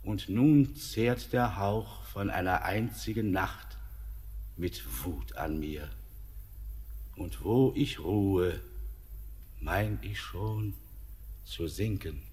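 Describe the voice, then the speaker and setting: An ancient guide, weary and disdainful of humankind. His low voice moves extremely slowly, declaiming each phrase solemnly in the manner of a classical radio drama. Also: a little mellow. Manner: solemn, declamatory, drawn-out delivery.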